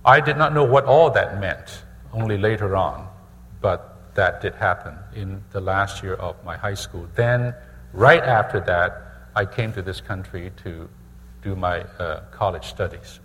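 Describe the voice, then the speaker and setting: Middle-aged male pastor, deliberate sermon delivery with repeated phrases, long hall reverb. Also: low-pitched, fairly bright tone. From Hong Kong. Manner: measured, repeats phrases for emphasis. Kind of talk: sermon testimony.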